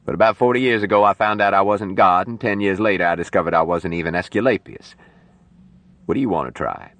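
Man playing a gruff, bossy old doctor, low-voiced. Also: fast talker, fairly bright tone, no echo. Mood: brisk, dry. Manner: curt commands and dry wisecracks.

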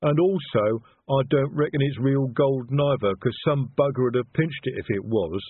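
A man voicing a big, slow-witted troll who speaks earnestly and ungrammatically, proud of his culture, in an audiobook. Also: mid-range voice, husky, no echo, phone-quality sound, pitched above the performer's own voice, natural voice. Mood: earnest, expansive. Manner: ponderous, uneducated, ungrammatical speech. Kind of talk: audiobook character voice.

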